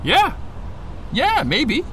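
A man's voice: extremely high pitch, wildly swooping pitch, bright, forceful tone, heavily acted. A man playing a superhero's loyal best pal, rambling earnestly and casually in a comedic audio drama. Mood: musing, unsure. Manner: halting, casual, earnest dimwitted musing. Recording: faint outdoor street noise.

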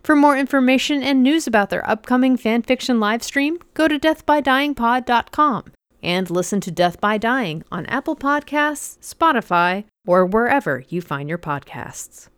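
A woman's voice: fairly high pitch, expressive, moderate pace, fairly bright tone, clean tone, no room sound, clean studio sound. A woman announcing a podcast outro from a script.

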